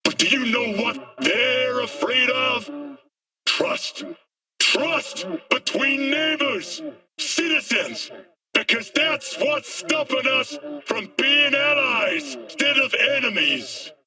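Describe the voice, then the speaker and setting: An actor playing a fiery dystopian street preacher in a video game, ranting in an impassioned, declamatory way. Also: male voice, extremely high pitch, lively intonation, slow pace, sharp tone, slightly rough, distant mic, exaggerated voice. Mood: bitter, preaching. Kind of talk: dramatic video game monologue.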